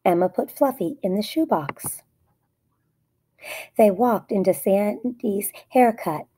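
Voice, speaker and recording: A woman's voice: medium pitch, expressive, takes it slowly, warm tone, slightly rough. A woman narrating a children's story aloud in short, plainly phrased sentences. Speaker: storybook reader.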